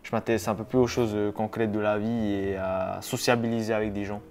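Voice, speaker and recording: A man in his twenties chatting casually to his viewers in a vlog, relaxed and rambling with frequent hesitations. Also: fairly low pitch, a little fast, slight background noise. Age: 20s. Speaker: YouTuber. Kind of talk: casual vlog talk to camera.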